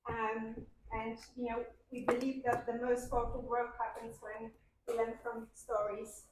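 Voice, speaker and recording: Female event host, higher-pitched, hesitant and halting as she introduces a speaker, heard through very heavy room echo. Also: level tone. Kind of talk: informal spoken introduction. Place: live conference event. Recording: very echoey, distant mic, noisy.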